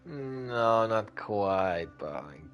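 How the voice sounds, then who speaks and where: A man's voice: lowish voice, lively intonation, drawn-out words, a bit bright. A man streaming a video game with casual, offhand commentary, muttering to himself as he plays. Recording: game music behind the voice.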